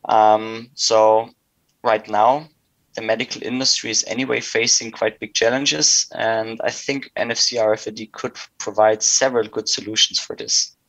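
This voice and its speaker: A man's voice: lowish voice, flat delivery, moderate pace, a bit bright, no echo, rough recording. A man from Austria with an Austrian accent, a native German speaker, explaining things methodically as an interview guest. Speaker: interview guest, sales director.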